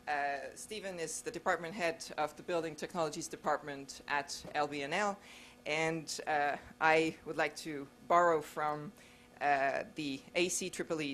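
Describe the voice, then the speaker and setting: A woman with a French accent, a native French speaker, hosting a seminar and introducing a speaker in a halting, formal way in a lecture room. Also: sits low. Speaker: seminar host.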